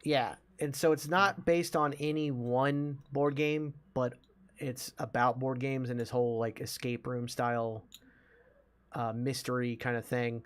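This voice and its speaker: Male podcast host, medium pitch, conversational and keen to explain, unscripted chat. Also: fairly even intonation, unhurried, clean tone. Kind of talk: casual movie discussion.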